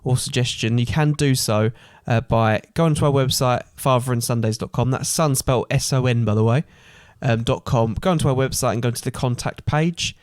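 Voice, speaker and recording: A man's voice: medium pitch, a little fast, hardly any pauses, dry recording, studio quality. A man co-hosting a podcast in casual chat. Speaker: podcast co-host.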